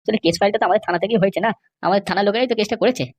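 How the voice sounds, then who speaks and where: Cartoon comedy part: chatty, crafty jail constable voiced by a woman, mid-range voice, extremely quick insistent patter. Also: mid-range voice, a little mellow, close to an everyday voice. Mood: dismissive, insistent. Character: sly male cartoon schemer and bribe-seeking jail constable. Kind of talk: amateur cartoon comedy dubbing.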